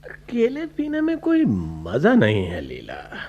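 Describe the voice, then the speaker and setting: Casting: a sly drinking boss, slow and wheedling, pitch lurching up and down, radio drama. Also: male voice, wildly swooping pitch, a little mellow, heavily acted. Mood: sly coaxing. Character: lecherous, coaxing drunkard employer, a grown man. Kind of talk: Hindi radio play acting.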